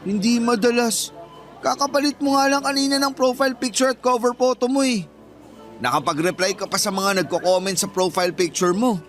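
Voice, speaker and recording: Young filipino bagger, pretty high male voice, hurt and confrontational, radio drama style. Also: brisk, a bit bright, faint music behind. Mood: hurt, accusing. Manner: insistent, accusing, wounded.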